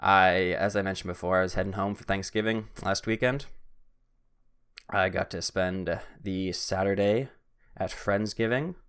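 A man's voice: deep voice, steady tone, measured pace, fairly bright tone, clear, resonant tone. A young man hosting his own podcast, talking in a rambling, self-mocking way and often wandering off topic. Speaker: podcast host. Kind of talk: casual solo podcast monologue. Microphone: podcast microphone.

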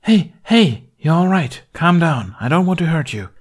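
A man voicing a stern, brisk female alien healer who talks firmly and reassuringly. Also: medium pitch, animated, darkish tone, pitched above the performer's own voice, close to an everyday voice. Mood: stern, soothing.

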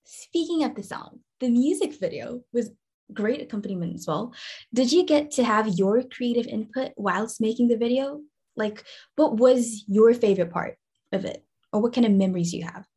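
A woman's voice: on the high side, normal speed, warm tone, breathy edge. A woman co-hosting a casual interview, chatty, informal and enthusiastic with her questions and comments. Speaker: show co-host.

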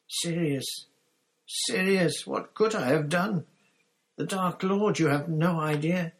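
A man voicing a cowardly, grovelling traitor in a storybook, stammering and whining as he begs with a rasping, gasping voice. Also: on the high side, slow, voice pushed up. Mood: terrified pleading. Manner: stammering, repeating pleas.

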